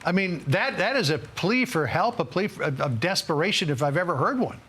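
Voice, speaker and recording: A male TV news anchor with a fairly high-pitched voice conducts a broadcast interview. He speaks emphatically, repeating words to drive a point home. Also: expressive, fairly quick, a bit bright, slightly rough.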